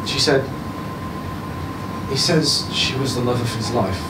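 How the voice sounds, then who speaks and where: A man in his thirties reading a short story aloud to a live audience at a stand mic, giving the other speaker's lines a higher voice. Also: unhurried. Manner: voices the woman's lines higher, shifts to quiet and low near the end. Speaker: story reader. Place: small bar with audience, indoors. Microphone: stand mic, filmed from a far camera. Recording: roomy bar sound from a distance with background hum.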